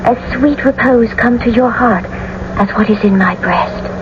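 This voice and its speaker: A woman's voice: on the high side, a little slow, lightly acted. An actress playing a tender, anxious, lovestruck young noblewoman in a classical radio drama, theatrical and earnest. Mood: earnest, cautious. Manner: theatrical, earnest, romantic delivery. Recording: muffled, noisy old radio broadcast recording.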